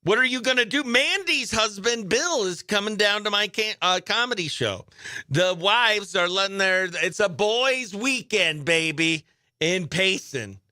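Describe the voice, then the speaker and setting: Male radio host, high-pitched, crisp clean tone, excitable slangy chat, dry studio sound. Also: unhurried, no echo. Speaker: radio morning show host. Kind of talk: casual live radio chat.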